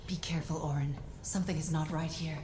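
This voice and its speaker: A woman voicing a solemn, loyal mystic adviser in a fantasy video game, measured, earnest and cautioning. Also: low-pitched, steady tone, natural voice. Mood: wary. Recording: echoey space with music behind.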